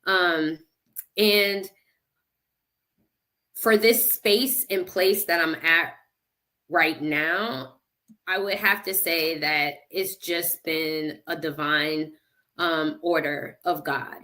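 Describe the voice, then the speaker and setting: Woman from Connecticut, podcast guest, unhurried delivery, bright tone, reflective storytelling. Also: low voice, steady tone. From United States (Connecticut). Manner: slow, reflective, faith-centered telling. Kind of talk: personal storytelling in conversation.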